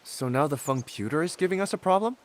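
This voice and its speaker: A man's voice: a little high, lively intonation, quick pace, lightly acted. A man playing a friendly, inquisitive young wanderer hero in a video game, speaking expressively. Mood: excited, puzzled.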